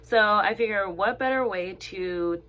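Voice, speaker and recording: A woman's voice: mid-range voice, unhurried, a crowd in the background. A young woman interviewing a friend in casual chat, laughing often and filling gaps with "um" and "like". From Zimbabwe. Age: young adult.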